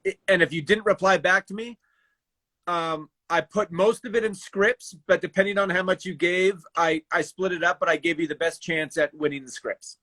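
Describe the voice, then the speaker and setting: A man hosting a live raffle in casual livestream chat, haltingly thinking aloud as he handles each task. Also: sits high, pushed and bright, no room sound. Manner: halting, thinking aloud while doing tasks.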